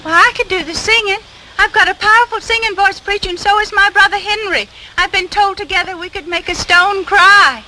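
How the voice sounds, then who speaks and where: A high-voiced chatty country peddler woman. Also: brisk. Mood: bright. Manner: eager, talkative, insistent. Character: chatty rural peddler woman, pushy and nosy. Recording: old film soundtrack with faint noise.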